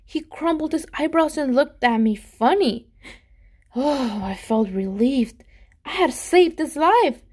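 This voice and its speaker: A woman with a fairly high voice reads a picture book aloud for children. She is expressive and animated, leaning into dramatic emphasis and speaking to her young listeners as a storyteller. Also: slow. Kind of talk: expressive storybook reading, pausing to ask children questions.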